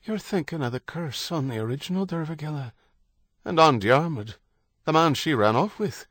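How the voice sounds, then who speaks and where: A man's voice: fairly high pitch, lively intonation, moderate pace, a bit bright, dry recording, pitched above the performer's own voice. A male narrator takes on a young Irish mother in a dramatic novel. She is fierce and firm, pressing her case with pleading insistence. The delivery is close and dry. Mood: firm, fearful.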